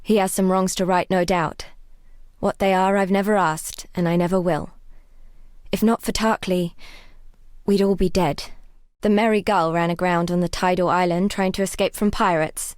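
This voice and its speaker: An actress playing a devout, weary young woman healer exiled on a grim coast in a fantasy game, speaking in a solemn, measured, wry way. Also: fairly low pitch, steady tone, darkish tone, clean tone, no room sound, studio quality, natural voice. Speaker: non-player quest giver. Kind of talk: dramatic fantasy game dialogue.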